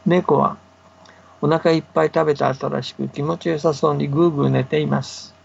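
A man telling folktales as a guest on a radio show, chatty and relaxed, with asides to the host, heard over a muffled remote line. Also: fairly high pitch, brisk, vocal fry, slightly rough. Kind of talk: folktale telling in casual talk. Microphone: remote line to the studio.

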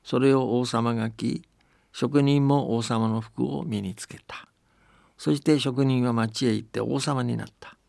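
Male storyteller-host, very gravelly, dry close sound, folksy chatty delivery between narration and commentary. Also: mid-range voice, fairly even intonation, measured pace, a little mellow, no echo. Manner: folksy, chatty, seeks agreement with "ne". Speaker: host reading and explaining folktales on a radio programme.

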